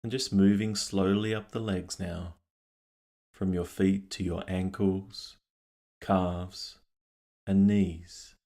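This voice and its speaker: A man leading a guided meditation, speaking calmly and gently in unhurried phrases with long pauses. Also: sits low. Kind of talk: guided meditation, scripted narration.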